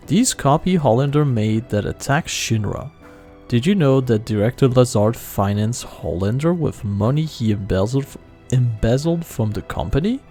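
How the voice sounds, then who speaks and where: A man's voice: medium pitch, gravelly, warm tone. A man giving casual video game commentary, reading text aloud and adding wry asides. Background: game music.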